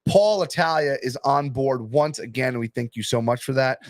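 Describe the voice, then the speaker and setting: Young guy hosting a podcast, hyped and loud about everything, dry close mic. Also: medium pitch, moderate pace, no room sound. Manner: hyped, excitable, promotional. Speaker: podcast host and arm wrestling promoter. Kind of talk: casual podcast chat.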